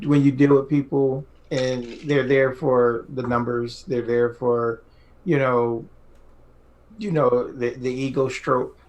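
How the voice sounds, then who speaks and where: A man's voice: medium pitch, a little mellow, rough recording. A middle-aged man co-hosting a podcast, talking casually and effusively, repeating phrases for emphasis. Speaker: podcast co-host. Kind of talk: casual enthusiastic group chat.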